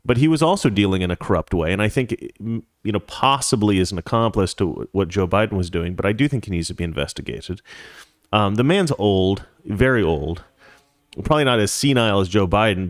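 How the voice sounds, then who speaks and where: Low-voiced man giving casual political commentary. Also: fairly quick, dry recording, clean studio sound. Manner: rambling, conversational, digresses into anecdotes. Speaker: talk show host.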